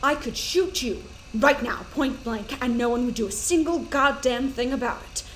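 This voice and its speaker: A cold, contemptuous doctor who expects obedience, acted by a woman. The voice is female, fairly high and clipped, in sci-fi audio drama style over a faint background noise. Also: normal speed, close to an everyday voice. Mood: cold fury.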